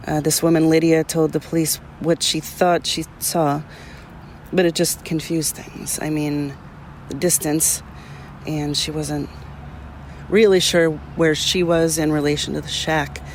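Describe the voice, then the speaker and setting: Over faint outdoor noise, a matter-of-fact local man , played by an actor, talks as if interviewed for an audio drama. His voice is fairly high, casual and hedging. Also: little pitch movement, a little slow, lightly acted.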